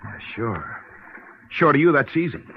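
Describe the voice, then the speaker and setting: A man's voice: medium pitch, very animated, unhurried. An American man playing a bitter, vengeful ex-convict, terse and menacing, in a 1940s radio crime drama. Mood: bitter, brooding. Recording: dull, noisy old radio recording.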